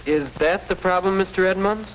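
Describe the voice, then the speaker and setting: Casting: young man playing an earnest, well-mannered teen boy for vintage classroom film, lightish pitch, hissy soundtrack. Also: a little fast, natural voice. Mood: puzzled, earnest. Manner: earnest, polite, deferential. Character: earnest, polite teenage schoolboy. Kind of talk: 1940s educational film acting. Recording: muffled, noisy old 1940s film soundtrack.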